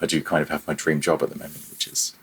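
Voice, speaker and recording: A man with a very deep, husky voice talks as a podcast guest. He is reflective and casual, feeling his way through halting phrases with a modest, self-deprecating touch. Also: flat delivery, a bit bright. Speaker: podcast guest, freelance audio programmer. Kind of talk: reflective casual interview talk.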